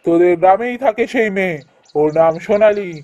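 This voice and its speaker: A man's voice: high-pitched, fairly quick, a little mellow, dry recording, natural voice. A man playing a commanding wandering holy man in a folk-tale cartoon, speaking in solemn, oracular pronouncements.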